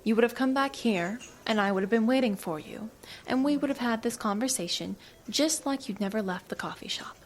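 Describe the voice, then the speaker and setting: An actress playing a cool, evasive government agent in an audio drama, with a dry, teasing, knowing manner. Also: female voice, a little high, fairly quick, slight background noise, lightly acted. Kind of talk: naturalistic audio drama acting.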